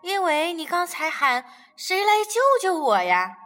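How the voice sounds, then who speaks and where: A woman voicing a gentle, kind and lonely female sea reptile in a children's audio story, speaking softly and kindly. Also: very high-pitched, sing-song, a little slow, full character voice. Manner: soft, kindly, gently questioning. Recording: reverberant room with music underneath.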